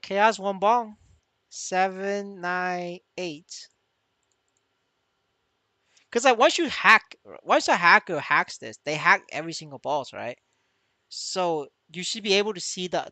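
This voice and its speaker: A man's voice: high voice, bright, forceful tone, no echo. A man livestreaming as a gamer in casual chat, stammering, repeating his words and swearing freely. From Vietnamese. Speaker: game streamer.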